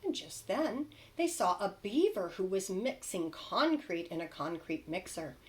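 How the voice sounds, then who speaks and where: A woman's voice: medium pitch, very animated, unhurried, darkish tone. A woman narrating a children's storybook expressively, like a teacher reading aloud to young children.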